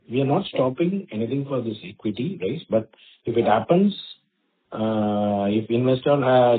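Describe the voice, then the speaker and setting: A man, a company executive answering investor questions in a halting way, with many fillers. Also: mid-range voice. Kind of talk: earnings call answers. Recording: phone-line call audio.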